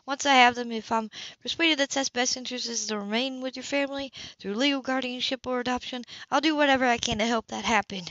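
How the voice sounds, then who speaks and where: A woman's voice: fairly high pitch, fast, a bit bright, no echo, dull audio, pitched above the performer's own voice, close to an everyday voice. A woman reading a proud, composed, stubborn psychologist for an audiobook, in a precise and defiant formal manner. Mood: earnest, firm.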